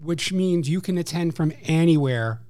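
Measured man with a New York accent. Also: a little high, expressive, warm tone. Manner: measured, informative, some restarts. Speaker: program coordinator. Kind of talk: guest interview, explaining services.

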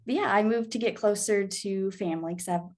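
A woman's voice: medium pitch, fairly quick, a little mellow. A woman in her twenties with an American accent, giving upbeat, earnest conversational answers as an interview guest. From Arkansas, United States. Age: 20s. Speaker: guest, pharmacy student and pageant titleholder.

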